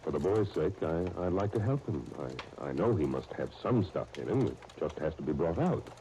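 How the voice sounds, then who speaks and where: A man's voice: low-pitched, big pitch swings, a little fast, mellow. An American man acting an earnest, hesitant leading man in a 1930s radio soap opera. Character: John, an earnest, hesitant man wary of trouble. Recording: noisy 1930s radio disc recording.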